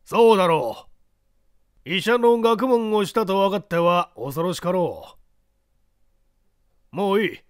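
A man's voice: high-pitched, animated, measured pace, pauses often, clear, resonant tone, no echo, clean studio sound, pitched above the performer's own voice. A man voicing a shrewd, commanding judge who presses a suspect in an audiobook story. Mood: triumphant, commanding. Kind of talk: audiobook character dialogue.

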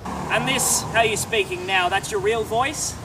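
An actor playing a pompous current-affairs TV presenter with a dramatic, overly serious delivery. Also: male voice, high-pitched, measured pace, noisy background. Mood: solemn.

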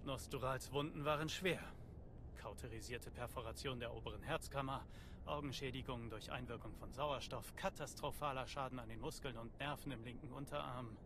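Cocky male doctor for a game, actor-voiced: mid-pitched, smug, clinical, noisy ambience behind. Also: a little fast, natural voice. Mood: clinical, boastful. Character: cocky, self-admiring male military doctor. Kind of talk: dramatic game cutscene acting.

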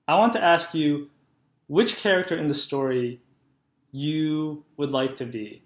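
A young man with a slightly high voice gives a Sunday school lesson to children. He explains the story in plain, friendly words and keeps asking the kids whether they follow. He records on a laptop webcam in a living room with a noticeable echo. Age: young adult. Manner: friendly, simple storytelling, asks "right?" to kids. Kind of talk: children's sermon. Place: living room at home, indoors. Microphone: laptop webcam, head and shoulders. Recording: echoey room.

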